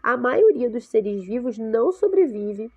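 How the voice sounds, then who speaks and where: A woman's voice: fairly high pitch, big pitch swings, measured pace, narrow, tinny audio. Congested woman teaching a science class. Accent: Brazilian Portuguese. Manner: explanatory, checks in with frequent "tá?" and "né?". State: congested with a cold. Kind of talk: remote class lesson for sixth graders.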